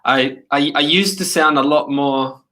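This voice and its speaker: A man's voice: a little high, steady tone, measured pace, bright, forceful tone. Casual livestream host, a man in his thirties with a Chilean accent gone part Australian, loose and full of restarts. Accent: Chilean Spanish, partly Australian. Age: 30s. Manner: rambling, many fillers and restarts.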